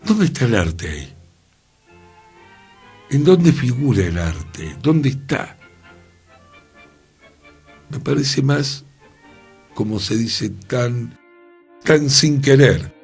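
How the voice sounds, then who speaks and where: A man's voice: medium pitch, big pitch swings, extremely slow, creaky, pauses often, a little mellow, husky, soft background music. A man with a Rioplatense Spanish accent, musing aloud on a radio talk show in a thoughtful, questioning way. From Argentina. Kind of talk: reflective radio conversation. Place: radio studio.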